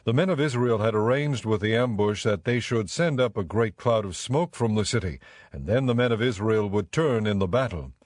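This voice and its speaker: A man's voice: lowish voice, normal speed, darkish tone, no room sound, clean studio sound. A man reading scripted dramatic Bible narration as the narrator.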